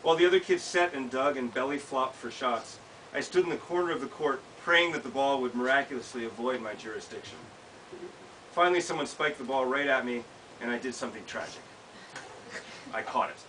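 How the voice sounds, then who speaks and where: An American man reads a personal essay aloud at a live event. His mid-pitched voice is bright and clear-edged. It is caught by a camera mic at some distance, with faint hiss in the room. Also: a little fast. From United States. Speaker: author reading from own work. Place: small bookshop event, indoors. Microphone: camera several feet away. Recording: distant mic, hissy background noise.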